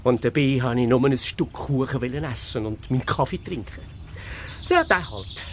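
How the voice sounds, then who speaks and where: An actor playing a grumpy, greedy fox detective in a children's radio play, impatient and dismissive, with a Swiss German accent. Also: male voice, mid-range voice, animated, normal speed, background noise, lightly acted. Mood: grumbling. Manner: impatient, dismissive, self-important.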